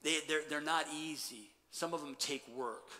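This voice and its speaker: A middle-aged man preaching a sermon as pastor, animated, then dropping to quiet emphasis. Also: on the high side, normal speed. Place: church sanctuary stage. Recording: long church reverb.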